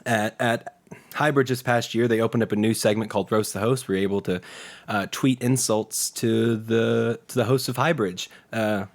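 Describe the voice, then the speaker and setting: A man's voice: fairly low pitch, steady tone, moderate pace. Male, early 20s, informal interview guest, jokey storyteller, conversational delivery. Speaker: podcast guest, theater student and improv performer.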